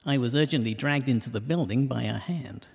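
A man narrating scripted comic rhyming verse in a measured, deliberate storytelling delivery. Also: mid-range voice, gravelly, darkish tone, slightly rough. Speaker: narrator of a comic detective podcast. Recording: long reverberant hall echo.

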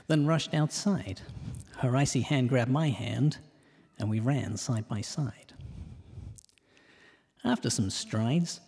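A man's voice: mid-range voice, dark tone, breathy edge. Man narrating comic verse, reverberant. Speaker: narrator of a comic detective podcast. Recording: long reverberant hall echo.

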